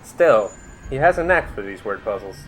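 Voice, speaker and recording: A man's voice: on the low side, sing-song, normal speed, a little hiss or hum, poor audio. A man playing a wry, inquisitive young masked sidekick in a radio drama, with a casual way of talking. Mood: puzzled.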